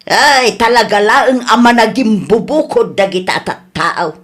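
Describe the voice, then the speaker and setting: A woman's voice: on the high side, unhurried, fairly bright tone. A woman with an Ilocano accent playing a stubborn, quarrelsome older woman in a comedy radio drama, scolding and arguing. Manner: scolding, argumentative.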